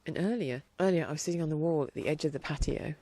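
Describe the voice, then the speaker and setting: A woman host chatting casually outdoors in her garden, rambling along with a blocked-up nose and dropping quieter at times. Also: deep voice, rapid-fire. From England. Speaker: podcast host. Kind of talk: casual chat.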